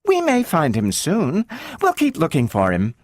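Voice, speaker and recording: A man reading aloud and voicing a gentle, anxious mother who coaxes her child slowly. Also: a little high, wildly swooping pitch, no echo, studio quality, voice pushed up. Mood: coaxing. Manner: slow and coaxing.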